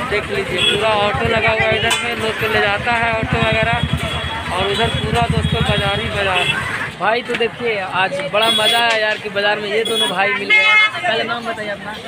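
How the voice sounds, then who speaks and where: A young man with a fairly high voice talks to his viewers in a casual, chatty way. He films himself on a phone at arm's length as he walks outdoors. A dense market crowd and loud traffic fill the background. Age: young adult. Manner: chatty, addresses viewers as friends. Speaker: vlogger. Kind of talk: casual daily vlog narration. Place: outdoors on a village road and busy market. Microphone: phone camera held at arm's length. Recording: crowd and traffic noise behind. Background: market crowd and passing vehicles.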